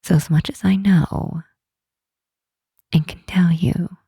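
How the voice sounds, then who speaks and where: A woman voicing a protective, commanding yet tender Demon Queen in an ASMR roleplay, speaking softly and breathily, often whispering, in a soothing, gently insistent way. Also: fairly low pitch, steady tone, slow pace, vocal fry, husky, no room sound, studio quality, exaggerated voice. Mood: reassuring, firm. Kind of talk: ASMR roleplay, intimate comforting monologue.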